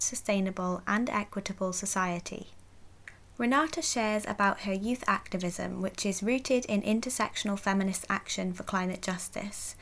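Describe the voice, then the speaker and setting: A woman speaking as a podcast host, introducing and interviewing a guest. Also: medium pitch.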